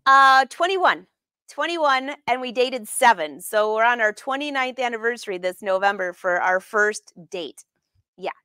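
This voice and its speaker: A woman's voice: on the high side, expressive, a little slow, pushed and bright, smooth, no room sound. A middle-aged woman hosting a casual live craft demonstration, chatting informally and putting questions to her viewers. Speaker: host of a live sewing lesson. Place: sewing studio room, indoors.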